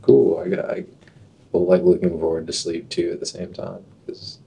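Man, deep and gravelly, laid-back joker, faint background noise. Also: fairly even intonation, moderate pace, dark tone. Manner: joking, laid-back, playful rhymes. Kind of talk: casual chat.

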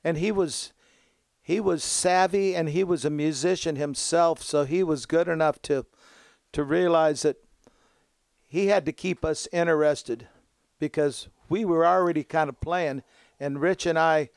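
A man's voice: fairly high pitch, fairly even intonation, measured pace, darkish tone, smooth, no echo, studio quality. A man in his seventies, a musician casually recalling his younger days in an interview. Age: 70s. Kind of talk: casual reminiscing.

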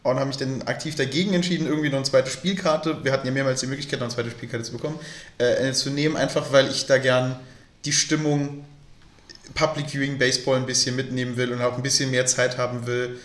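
A man's voice: medium pitch, steady tone, fast talker, fairly bright tone, echoey room. A man co-hosting a podcast, chatty and joking in a casual exchange. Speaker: podcast co-host. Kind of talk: casual chat.

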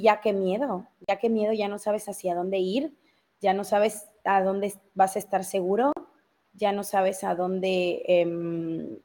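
A woman's voice: mid-range voice, darkish tone. A woman from Mexico with a Mexico City accent, hosting a casual live news chat and talking freely with many fillers. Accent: Mexico City Spanish. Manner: talkative, many fillers and self-interruptions. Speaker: host.

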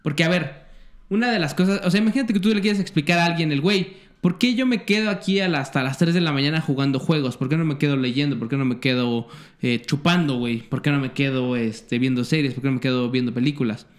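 A man co-hosting a casual podcast, animated and profane as he chats. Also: a little high, quick pace. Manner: profane, animated, chiming in often. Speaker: podcast co-host. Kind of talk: casual crude banter.

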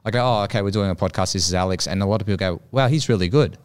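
A man's voice: low voice, very fast, clean tone. A man hosting a podcast, chatting in an encouraging way and often backing up his guest. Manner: encouraging, frequent backchannel. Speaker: podcast host. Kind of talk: casual podcast conversation.

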